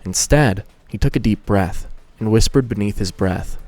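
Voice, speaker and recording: A man's voice: fairly low pitch, moderate pace, a little mellow, no echo, faint music behind. A man hosting a fan fiction reading and narrating in a casual style. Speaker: host and fan fiction reader.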